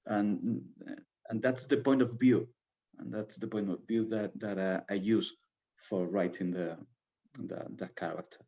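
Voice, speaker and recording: A man's voice: on the low side, steady tone, measured pace, mellow. A man from Spain with a Spanish accent, a playwright answering questions in a second language, searching for words. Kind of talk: halting Q&A answer.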